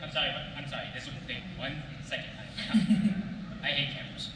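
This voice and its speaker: A man's voice: fairly high pitch, moderate pace. A man asking questions casually as an eager, slightly flustered fan in the audience. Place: convention panel room. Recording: very echoey hall from far off, faint noise.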